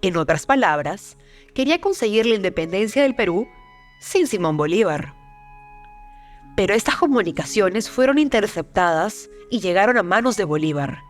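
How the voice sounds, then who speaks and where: A woman giving scripted documentary narration in a measured, formal, dramatic manner. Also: mid-range voice, animated. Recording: music behind the narration.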